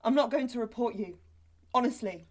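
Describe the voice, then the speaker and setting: A woman's voice: fairly high pitch, a little fast, slight background noise, lightly acted. An actress playing an uneasy, frightened young woman pleading to get away in an audio drama. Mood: desperate, demanding. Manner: tense, swearing when pushed. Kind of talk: naturalistic audio drama acting.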